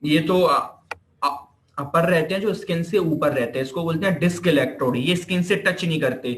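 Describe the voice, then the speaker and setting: A man's voice: fairly high pitch, normal speed, a little hiss or hum. A man with an Indian accent giving an informal explanatory lecture as a teacher, repeating points and answering his own questions. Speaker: physiotherapy teacher. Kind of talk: informal explanatory classroom lecture, Hindi mixed with English terms. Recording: echoey room.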